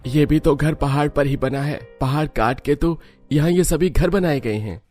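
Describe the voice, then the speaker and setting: A man's voice: a little high, moderate pace, darkish tone, faint music behind, pitched above the performer's own voice, close to an everyday voice. A man voicing a cheerful yet cautious young mountain husband in a cartoon story, coaxing and explaining.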